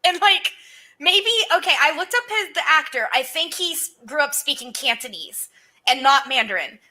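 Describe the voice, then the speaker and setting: A woman guest on a show, chatting in an animated, opinionated way. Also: high-pitched, normal speed. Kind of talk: casual chat.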